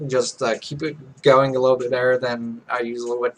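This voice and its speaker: A man's voice: medium pitch, level tone, brisk. A man hosting a let's play, casually reading visual novel text aloud and commenting, often stumbling over the words.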